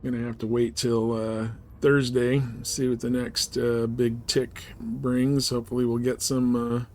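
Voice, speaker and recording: A man's voice: medium pitch, a little hiss or hum. A man chatting casually and wryly while streaming a game he is playing.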